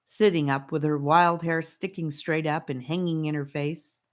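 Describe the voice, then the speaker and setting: A woman narrating a children's story audiobook in an expressive storytelling style. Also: sits low, moderate pace.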